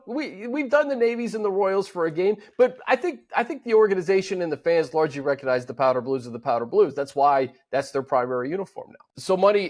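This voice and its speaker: A man's voice: high-pitched, a little mellow. American man hosting a casual sports podcast.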